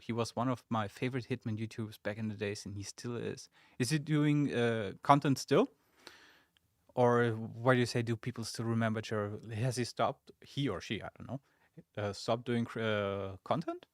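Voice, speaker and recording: Young German-native man, middle pitch, dry close mic, relaxed and chatty livestream host. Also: dry recording. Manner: chatty and relaxed, with many fillers and asides. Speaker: social media community manager and stream host. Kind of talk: casual livestream chat reading viewer comments. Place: game studio office.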